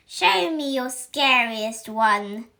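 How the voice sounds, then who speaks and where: A woman's voice: a little high, lively intonation, drawn-out words, vocal fry, bright, forceful tone, exaggerated voice. A woman voicing a harsh witch schoolteacher who snarls orders and mocks her pupils, in a storybook reading. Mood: commanding. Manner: snarling, mocking, commanding.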